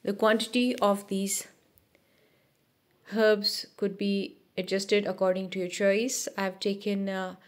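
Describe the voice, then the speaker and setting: A woman narrating a cooking video as a voice-over, giving steady step-by-step instructions with small restarts. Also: mid-range voice, slow pace. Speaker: cooking channel host.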